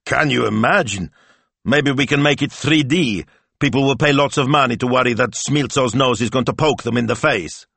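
A man's voice: lowish voice, sing-song, normal speed, creaky, fairly bright tone, no room sound, full character voice. A man voicing a doubtful, easily exasperated Italian husband in an audiobook, with a grumbling, hesitant manner. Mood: scornful.

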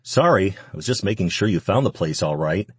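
A man voicing a composed winged male mentor, calm and instructive, in a story reading. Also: sits low, fairly quick, slightly rough, dry recording, exaggerated voice. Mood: casual, unruffled.

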